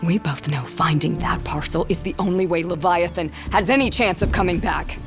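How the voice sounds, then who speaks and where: A woman's voice: lowish voice, moderate pace, close to an everyday voice. A woman playing a guarded, grieving, driven secret agent in an audio drama, terse and intense. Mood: desperate, determined. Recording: music behind.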